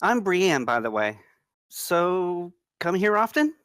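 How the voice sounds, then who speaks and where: A woman's voice: fairly low pitch, big pitch swings, takes it slowly, no room sound, clean studio sound. A woman playing a flirty, confident woman in her twenties at a screenplay table read. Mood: playful, flirty.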